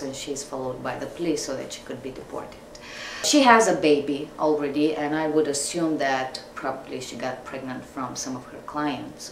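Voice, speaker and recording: A woman from Bulgaria with a Bulgarian accent, an actor introducing herself and explaining her character. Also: a bass voice. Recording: echoey, distant mic, clear background noise.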